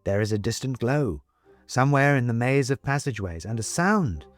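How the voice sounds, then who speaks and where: A man narrating an audiobook story in a deliberate, expressive, literary delivery. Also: mid-range voice.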